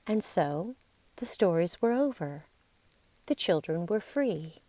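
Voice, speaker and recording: A woman narrating a scripted story in a deliberate, theatrical way, with dramatic pauses and emphasis. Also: lowish voice, lively intonation, warm tone. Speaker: podcast storyteller.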